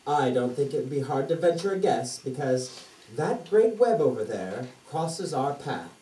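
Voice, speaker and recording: Woman playing a lively, gallant puppet prince. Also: rumbling low, a little slow, heavily acted. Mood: wry, determined. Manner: declamatory, theatrical. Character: brave, gallant fairy-tale prince, a knight with a sword. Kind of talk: puppet theatre fairy tale. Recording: distant echoey stage, faint noise.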